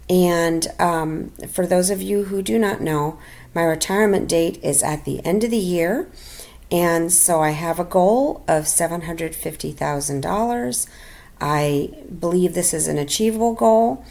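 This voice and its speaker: A middle-aged woman narrating a personal finance video as a voiceover, slightly raspy from illness, halting with many ums and restarts. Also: fairly low pitch, a little mellow. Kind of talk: casual voiceover narration over a screen recording. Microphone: home voiceover mic.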